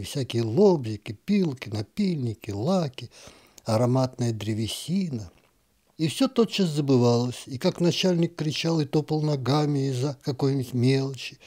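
A man narrating a short story in an expressive literary reading, with a wry, ironic delivery. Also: mid-range voice.

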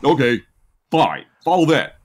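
A man's voice: medium pitch, sing-song, normal speed. A man on a podcast panel, trading casual comedic banter and reading aloud.

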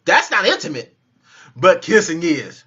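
A man's voice: a little high, unhurried. A man in his thirties co-hosting a casual live chat from a home kitchen, teasing and laughing as he argues. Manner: animated, teasing, laughs a lot. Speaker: co-host. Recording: muffled lo-fi webcam audio.

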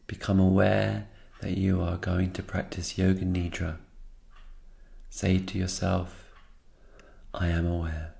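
A man with a very deep voice leads a guided meditation. His delivery is calm and soothing, staying flat and even in tone, with a gravelly creak at the edges. He leaves unhurried pauses between short phrases. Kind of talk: slow guided meditation narration.

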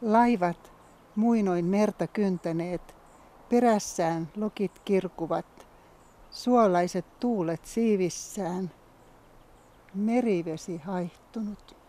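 Mellow-voiced woman reciting poetry. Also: medium pitch. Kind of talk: poetic recitation.

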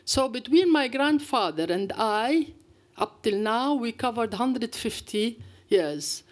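A woman's voice: fairly high pitch, takes it slowly. Older woman lecturing at a podium. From Palestine. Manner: anecdotal and animated, with gestures. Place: lecture hall. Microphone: podium microphone.

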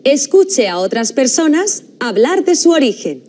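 A young woman with a Spain accent presents a TV Spanish course, speaking clearly and deliberately in a studio. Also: sits high, sing-song. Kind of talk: scripted educational presenting. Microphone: studio mic, framed close.

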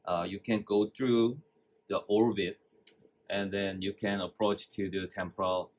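Soft-spoken man from South Korea. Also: on the low side, steady tone. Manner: soft, halting explanation in simple English. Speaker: visiting surgeon.

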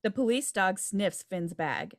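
A woman's voice: mid-range voice, sing-song, measured pace. A woman reading scripted stage directions at a table read over a video call. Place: indoor room, video call. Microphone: video call microphone.

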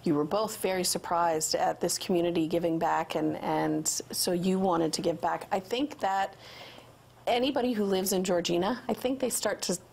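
A woman in her forties hosts a studio interview. She speaks in a fairly low voice and asks friendly, prompting questions. A faint studio hum sits behind her. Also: steady tone, moderate pace, vocal fry, darkish tone. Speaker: TV host. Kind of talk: community TV interview. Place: local TV studio set.

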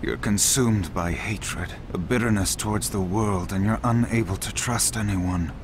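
A man playing a solemn, earnest young ninja leader in an anime dub, speaking gravely and with measured deliberation. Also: fairly low pitch, background noise, natural voice. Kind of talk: dramatic anime dub acting. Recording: loud music behind the voice.

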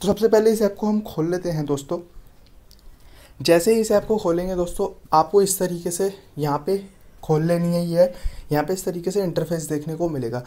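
A man's voice: high voice, moderate pace, warm tone. A man giving an app tutorial voice-over as a YouTube host, often addressing his viewers as friends.

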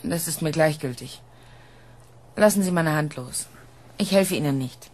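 A woman acting a cool, proud, seductive android in an intimate radio drama, with deliberate delivery. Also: low voice, darkish tone. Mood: cool, weary.

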